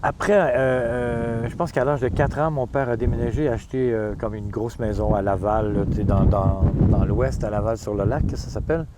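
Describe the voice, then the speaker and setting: A man's voice: medium pitch, moderate pace, hardly any pauses, heavy background noise. A man with a Montreal Quebec French accent, talking casually as an interview guest, informal and anecdotal, repeating himself for emphasis. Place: outdoors in the Gaspé region.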